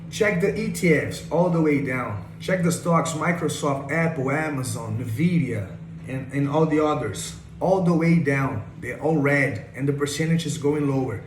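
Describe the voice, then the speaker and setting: Young Brazilian man, Portuguese native speaker, eager trading tutor, slightly high voice. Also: normal speed. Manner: enthusiastic, repeats phrases like "check this out". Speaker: day trader. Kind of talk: screen-share trade breakdown. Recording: echoey room with faint noise.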